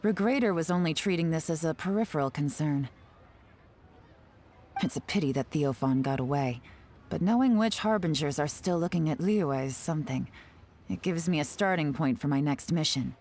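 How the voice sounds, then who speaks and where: A woman's voice: low-pitched, expressive, moderate pace, darkish tone, slight background noise. A woman voicing a composed, calculating female spy in a video game, speaking in a wry, self-assured way. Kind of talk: game cutscene dialogue.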